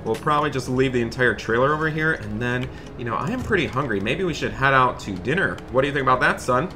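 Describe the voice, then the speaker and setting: A man's voice: mid-range voice, expressive, fast, noisy background, lightly acted. A man playing a cheerful, thrifty father in an improvised video game roleplay, chatty and folksy. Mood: upbeat. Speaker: gaming video host.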